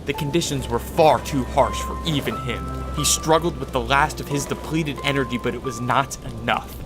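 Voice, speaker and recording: A man's voice: mid-range voice, normal speed. A man giving dramatic scripted narration for a radio adventure story. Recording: music behind the voice.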